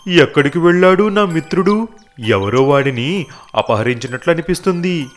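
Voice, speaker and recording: A man's voice: on the high side, expressive, moderate pace, soft background music, pitched above the performer's own voice, close to an everyday voice. A man voicing a loyal, friendly elephant in an animal fable for children. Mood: worried, missing friend. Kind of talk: animal fable character dialogue.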